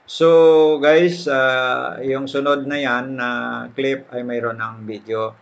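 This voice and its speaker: Older Filipino man with a creaky voice. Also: medium pitch, fairly even intonation, dull audio. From Philippines. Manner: halting, with English words mixed in. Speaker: fish farmer and vlogger. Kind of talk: casual vlog commentary.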